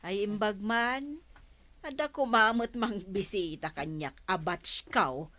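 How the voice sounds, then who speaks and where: A woman's voice: mid-range voice, slow, pushed and bright. A woman playing a nosy, sceptical village storekeeper in an Ilocano radio comedy-drama, gossipy and exclamatory, with an Ilocano accent. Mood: nosy, amused.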